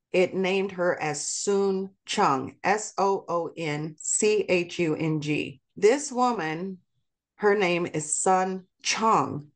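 Middle-aged woman, interview guest: earnest and measured, slightly low voice. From South Korea. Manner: earnest, measured, spelling out details carefully. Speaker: podcast guest. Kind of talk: conversational interview.

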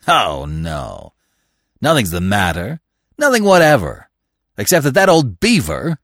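Blustering, affronted gentleman played by a male narrator, comic audiobook, sarcastic and snappy, swooping upward in pitch, dry sound. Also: wildly swooping pitch, unhurried, no room sound, full character voice. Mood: indignant ranting. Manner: snapping, indignant ranting.

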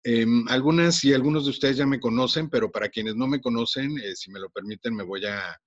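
A man's voice: mid-range voice, dry recording, highs cut off. A Mexican man in his forties with a Mexican accent, hosting a podcast and telling his own story casually, with many fillers and restarts. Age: 40s. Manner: warm, unhurried, frequent fillers and restarts. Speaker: podcast host.

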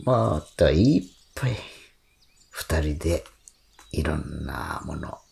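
Tender old husband, low-voiced. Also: male voice, lively intonation, creaky, darkish tone, full character voice. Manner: slow, halting, sentimental. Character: tender, grieving elderly husband recalling his life. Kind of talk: radio drama acting and narration.

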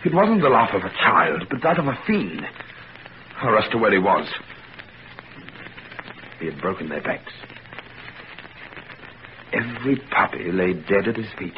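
An actor playing an anguished middle-aged father who speaks in a hushed, grave, dramatic tone, in 1940s radio acting style. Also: lowish voice, very animated, measured pace, pauses often, a little hiss or hum. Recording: dull old radio recording.

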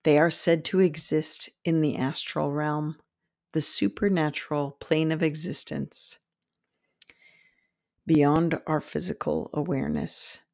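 Slow, low-pitched woman guiding a meditation. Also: creaky, darkish tone, dry recording, studio quality. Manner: soothing, deliberate, with frequent pauses between short phrases. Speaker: podcast host and meditation guide. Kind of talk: guided meditation narration.

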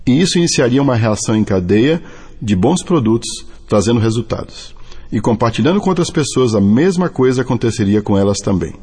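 A middle-aged Brazilian man with a Brazilian Portuguese accent, reading a motivational testimony in a deliberate, earnest way. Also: mid-range voice, animated, mellow, breathy edge. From Brazil (Bahia). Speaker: network marketing distributor. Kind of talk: scripted motivational testimony and read-out translation. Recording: long hall reverb.

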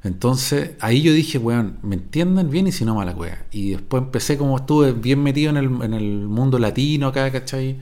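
A man's voice: mid-range voice, animated, normal speed, hardly any pauses, dark tone. A middle-aged man from Viña del Mar with a Chilean Spanish accent, hosting his podcast in an improvised, rambling, digressive monologue full of slang and swearing. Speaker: podcast host.

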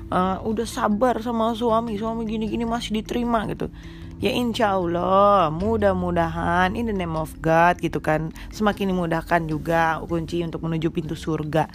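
A young woman with a stuffy, congested nose, chatting casually and teasingly to mothers as a podcast host. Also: medium pitch. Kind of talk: casual chat to mothers, mixing in English. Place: small rented room.

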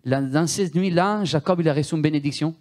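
An Italian-born man preaching a sermon with a heavy Italian accent. His voice sits a little high for a man. He is emphatic, repeats words and often turns to the listeners with questions. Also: brisk, a little mellow.

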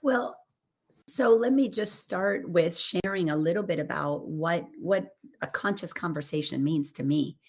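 A woman coach whose voice is raspy after laryngitis, talking earnestly and expansively over a remote call. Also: fairly low pitch, measured pace, a little mellow. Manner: earnest and expansive, building on images. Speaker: coach and guest. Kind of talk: casual spiritual podcast chat.

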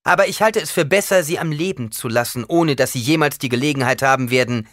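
A man's voice: fairly low pitch, very animated, fairly quick, fairly bright tone, no room sound, clean studio sound. A male narrator voicing a defiant, commanding young man in an audiobook, declaiming with scorn and force. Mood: angry, threatening.

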